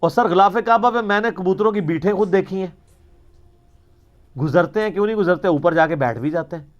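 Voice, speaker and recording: High-pitched Pakistani Punjabi man, emphatic religious lecturer. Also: a little fast, highs cut off. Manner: emphatic, rhetorical, argumentative, slipping into Punjabi. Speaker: religious scholar answering questions. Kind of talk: informal religious question-and-answer lecture.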